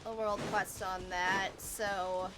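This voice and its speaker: A woman chatting casually and excitably as she streams a game, often thinking aloud and exclaiming. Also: mid-range voice, slow pace, noisy background. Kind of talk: casual livestream gameplay chat. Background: video game music.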